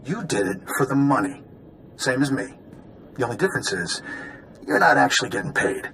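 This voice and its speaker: A man's voice: mid-range voice, animated, fairly quick, vocal fry, fairly bright tone, slight background noise. An actor playing a slick, callous con man in a video game, with a glib, smug manner. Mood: smug, dismissive.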